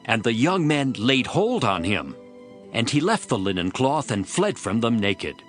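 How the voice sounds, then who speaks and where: A man's voice: medium pitch, very animated, unhurried, faint music behind. A man narrating dramatized scripture as a storyteller between the character parts.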